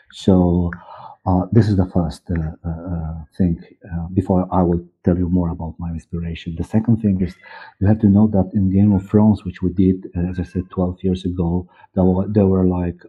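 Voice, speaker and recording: A middle-aged man from Poland with a Polish accent, answering interview questions in halting English, repeating words as he searches. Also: low-pitched, husky. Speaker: interview guest, audio director and actor. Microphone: headset or desk mic on video call. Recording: muffled, band-limited video call audio.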